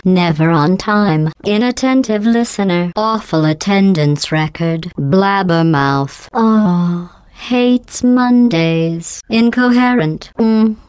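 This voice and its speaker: A cold, sarcastic female AI from a video game, sneering at her test subjects in a clipped deadpan. Her voice sits low and sounds smooth and polished. It is recorded close and dry, with no room sound. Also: dry recording. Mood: snide reading. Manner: mocking, dry insults in flat delivery.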